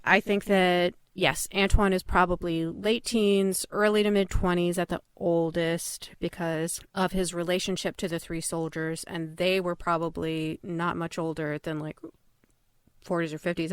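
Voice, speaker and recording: A woman's voice: on the low side, smooth, no echo. A woman in a casual fan discussion, speaking as a thoughtful, analytical podcast panelist.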